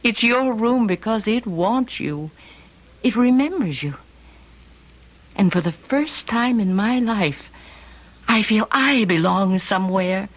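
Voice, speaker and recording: An actress playing a lonely, tender woman who longs to be a mother, speaking gently in an old-time radio drama. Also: mid-range voice, expressive, slow pace, pauses often. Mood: tender, yearning. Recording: dull lo-fi radio recording.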